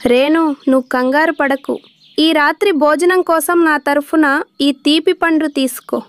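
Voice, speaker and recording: Woman voicing a high-pitched helpful lady pigeon. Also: fairly even intonation, unhurried, dry recording. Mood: kind, helpful. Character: helpful female pigeon, wife and neighbour. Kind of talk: children's moral-story cartoon dialogue.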